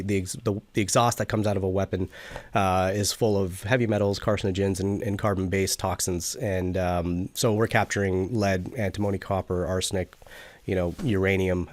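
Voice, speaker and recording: A man in his forties with a Canadian accent, explaining things in a measured, technical way in an interview. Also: low-pitched, darkish tone, dry recording. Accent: Canadian English. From Toronto area, Canada. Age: 40s. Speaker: podcast guest, military veteran and company CEO. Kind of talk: conversational interview answers.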